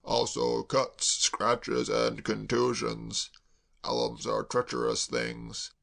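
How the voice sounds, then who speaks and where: A man voicing a mournful, doom-laden male servant, speaking slowly and heavily. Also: vocal fry, fairly bright tone, heavily acted. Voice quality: deep, slow, heavy. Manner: mournful, dwells on disasters. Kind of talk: audiobook character voice.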